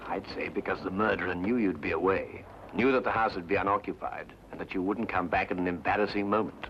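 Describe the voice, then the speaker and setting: A self-assured detective in a vintage radio play. He is deep and forceful, with precise, incisive phrasing. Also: steady tone, quick pace. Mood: confident, probing. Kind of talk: old-time radio drama acting. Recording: dull, noisy old radio recording.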